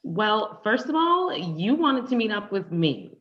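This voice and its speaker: A woman's voice: mid-range voice, sing-song, measured pace, highs cut off. A woman playing a confrontational, wounded ex-girlfriend in a conversational table read, pointed and sarcastic. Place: online live stream table read.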